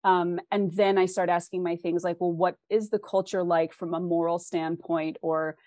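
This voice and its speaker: A woman's voice: fairly low pitch, flat delivery, normal speed, mellow, no echo, studio quality. A woman with a West Coast American accent, explaining her ideas thoughtfully and articulately as an interview guest, often posing questions as she goes. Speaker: guest author.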